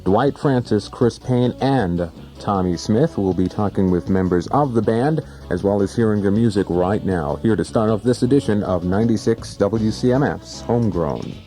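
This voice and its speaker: Male radio host, very low and dark voice, interview format, old 1980s broadcast tape. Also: moderate pace, hardly any pauses, faint music behind.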